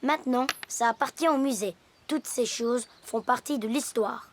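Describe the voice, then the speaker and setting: A woman's voice: on the high side, normal speed, slight background noise, lightly acted. A woman voicing an earnest little know-it-all boy hippo in a children's cartoon, pedantic and solemn. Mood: solemn, lecturing. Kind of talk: French children's cartoon acting.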